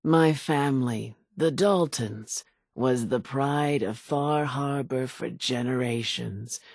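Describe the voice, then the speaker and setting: An actress playing a vengeful old island widow in a video game, telling grim tales in a raspy, folksy, theatrical way. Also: female voice, rumbling low, takes it slowly, darkish tone, no room sound, studio quality, heavily acted. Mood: grim storytelling. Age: older. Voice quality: raspy, gravelly. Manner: folksy, dramatic storytelling drawl. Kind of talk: video game character dialogue.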